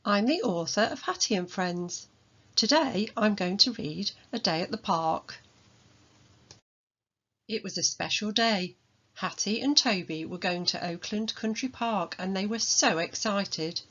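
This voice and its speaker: A woman reading a children's storybook aloud as its author, in an expressive manner. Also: medium pitch, unhurried, breathy edge, muffled recording. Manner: expressive, acts out dialogue.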